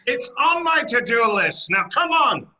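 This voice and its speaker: Sharp, sarcastic ogre played by a man. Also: very high voice, sing-song, measured pace, muffled recording, exaggerated voice. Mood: dry, impatient. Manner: dry, blunt, dismissive. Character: gruff sarcastic male ogre, impatient reluctant rescuer. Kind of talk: comic animated-film character acting.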